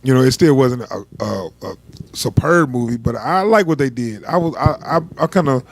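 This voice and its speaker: A man's voice: medium pitch, moderate pace. A man co-hosting a film review podcast, talking casually and laughing often. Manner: laughs often, relaxed colloquial speech. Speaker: podcast co-host, film critic. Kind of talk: casual movie review chat.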